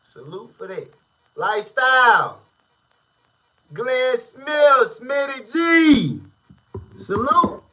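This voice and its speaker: A man hosting a casual street-news commentary channel, talking in slang with drawn-out, emphatic delivery. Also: extremely high pitch, expressive, bright, forceful tone, low-quality recording.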